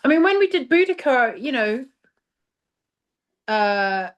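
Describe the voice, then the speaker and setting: A woman's voice: high-pitched, sing-song, slow pace, dull audio. A woman talking enthusiastically and emphatically about her craft as a theatre director being interviewed.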